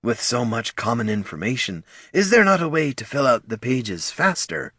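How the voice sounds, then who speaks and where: A man voicing a calm, formal male orc chieftain who speaks in measured, persuasive phrases. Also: medium pitch, breathy edge, dry recording, clean studio sound, voice pushed up, heavily acted.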